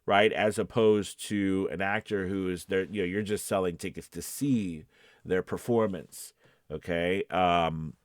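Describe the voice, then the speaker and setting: Male coach and host, deeper register, very dry recording, conversational explainer who checks in often. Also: steady tone, unhurried, no room sound. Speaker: voice-over coach and video host. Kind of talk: informal instructional talk to camera.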